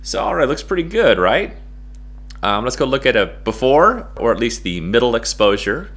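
Playful American man with lively pitch swings. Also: moderate pace. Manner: playful, goofy, jokey. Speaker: photo-editing tutorial presenter. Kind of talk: casual software tutorial chat.

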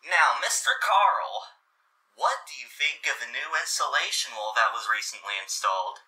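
A man acts as a polite radio news host in a scripted broadcast. His voice is very bright and sharp, at a middling pitch. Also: animated, normal speed, rough recording. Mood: polite, businesslike.

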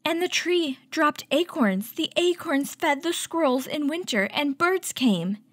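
A woman hosting a children's story time, reading a picture book aloud and teaching with clear, instructive delivery. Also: high-pitched, expressive, measured pace, no echo. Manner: clear, instructive, addressing young children with questions.

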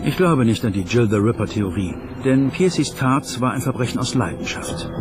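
A man's voice: mid-range voice, expressive, normal speed, over music, lightly acted. A man doing a scripted documentary voice-over as an investigator. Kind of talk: scripted German documentary dubbing.

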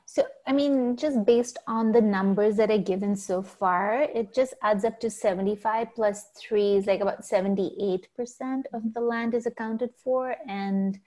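A woman's voice: medium pitch, steady tone, a little slow, few pauses, mellow. A woman town councilor asking questions at a public meeting over a video call, thinking aloud with fillers like "like" and "um".

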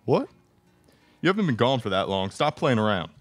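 A man's voice: medium pitch, very animated, normal speed, soft background music, lightly acted. A young man playing an earnest, reassuring brother in a radio theatre trailer parody. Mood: anxious reassurance. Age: young adult. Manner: earnest, dramatic. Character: reassuring brother at hospital bedside, secretly controlling. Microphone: microphone on stand.

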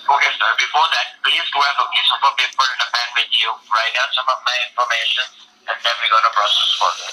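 A man's voice: mid-range voice, little pitch movement, quick pace, pushed and bright, breathy edge. A man speaking on the phone, formal and insistent like an official reading from a script. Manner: insistent, formulaic, repeatedly says sir. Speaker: phone scam caller posing as tax agent. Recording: noisy telephone line.

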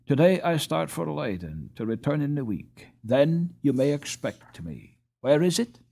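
A man's voice: a little high, very animated, a little slow, gravelly, warm tone, slightly rough, voice pushed up, heavily acted. A man voicing a brusque, brooding doctor in a storybook, terse and given to muttering. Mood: brusque, considering. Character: brusque, brooding male doctor, secretly kind.

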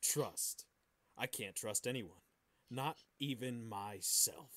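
A man's voice: medium pitch, slow, pushed and bright. A man co-hosting a podcast, bantering casually and reading aloud. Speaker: podcast host.

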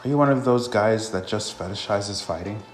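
A man's voice: on the low side, normal speed, lightly acted. A man voicing a despairing husband in a visual novel game, weary, bitter and skeptical. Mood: bitter, doubtful. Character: despairing husband robbed of his wife, bitter and doubtful. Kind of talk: amateur visual novel voice acting. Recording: roomy with faint music.

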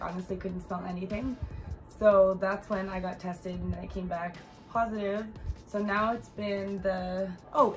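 Woman in her thirties, congested and stuffy, rambling casual vlog to phone in a living room; plain mid-pitched voice. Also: low-quality recording. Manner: rambling, many fillers. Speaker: vlogger. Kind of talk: casual chat to camera. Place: bright living room, on a sofa.

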